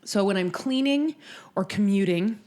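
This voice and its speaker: A woman's voice: medium pitch, animated, normal speed, a little mellow. A woman co-hosting a podcast in casual chat, wry and rambling with many fillers. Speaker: podcast co-host.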